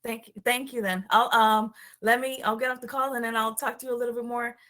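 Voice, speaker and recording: A woman's voice: on the high side, fairly even intonation, quick pace, a bit bright. A woman with a Puerto Rican accent hosting a casual interview over a call, chatty and enthusiastic, trailing off with fillers. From Puerto Rico. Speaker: show host.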